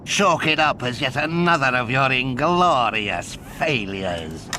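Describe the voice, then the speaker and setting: A man's voice: expressive, slow, sharp tone. An actor playing a gloating evil sorcerer in a video game, drawing out his words with theatrical scorn. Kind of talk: video game villain acting. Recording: clearly noisy, low rumble behind.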